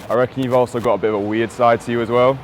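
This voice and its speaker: A man's voice: mid-range voice, fairly even intonation, racing, a little hiss or hum. A man doing a cheeky street interview outdoors, teasing and joking with a playful manner.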